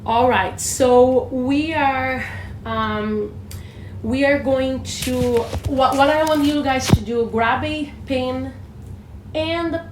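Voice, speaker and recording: Slow, echoey Brazilian woman co-host. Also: high-pitched, noisy background. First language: Portuguese. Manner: animated, restarts phrases often. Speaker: podcast co-host. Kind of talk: casual teaching chat. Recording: echoey room, faint ambience.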